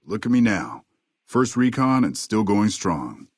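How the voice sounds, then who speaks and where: A husky, drawling veteran sniper. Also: male voice, fairly low pitch, sing-song, no echo, clean studio sound, full character voice. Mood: steady, understated. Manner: folksy, unhurried, understated. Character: weathered veteran army sniper, courteous, laconic old ex-scout. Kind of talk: video game character dialogue.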